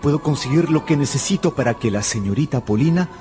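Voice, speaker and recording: A male actor plays a fervent gambler in a radio drama, recounting his obsessions like literature. His mid-pitched voice swings expressively between storytelling and dialogue, with clear music playing behind it. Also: expressive, fast, a little mellow, natural voice. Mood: earnest, sorrowful.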